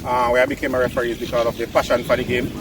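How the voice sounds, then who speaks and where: A man, a football referee, answering interview questions hesitantly with many pauses. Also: mid-range voice, little pitch movement, far from the mic, heavy background noise. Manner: hesitant, lots of ums.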